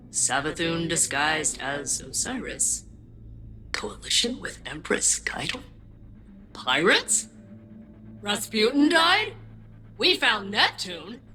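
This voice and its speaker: A woman's voice: mid-range voice, pushed and bright, full character voice. A woman playing a sardonic, blunt AI companion in a video game, with wry, flippant delivery. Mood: incredulous. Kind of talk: video game character dialogue.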